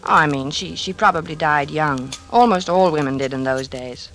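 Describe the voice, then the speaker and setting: An actress playing a patient, worried wife, gently questioning and coaxing in old-time radio drama style. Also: female voice, sits low, moderate pace, slight background noise.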